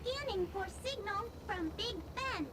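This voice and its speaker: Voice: extremely high pitch, measured pace, background noise. A performer voicing a tiny chirpy robot drone in a video game, giving formal, literal system announcements. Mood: mechanical, informative.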